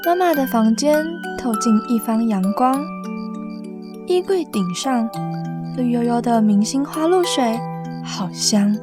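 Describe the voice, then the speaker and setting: Slow Taiwanese woman reading a picture book. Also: fairly high pitch, animated, music in the background. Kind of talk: scripted storybook reading.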